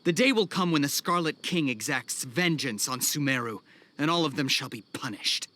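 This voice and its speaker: An actor playing a scornful, menacing mercenary and shady dealer in a video game, with a sneering, boastful manner. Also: male voice, on the high side, expressive, normal speed, sharp tone. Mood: scornful, vengeful. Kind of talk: video game cutscene acting.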